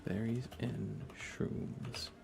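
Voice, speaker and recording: A man hosting an ASMR gaming livestream, speaking in a breathy, hushed, reassuring whisper with some vocal fry. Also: fairly low pitch, flat delivery, extremely slow, music in the background. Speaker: livestream host.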